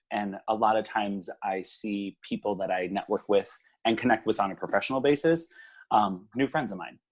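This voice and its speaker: A man's voice: lowish voice, husky. A young man from Hawaii, a small business owner, chatting casually in an interview with lots of ums and easy laughter. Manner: relaxed, many ums, laughs easily. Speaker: venue owner, guest.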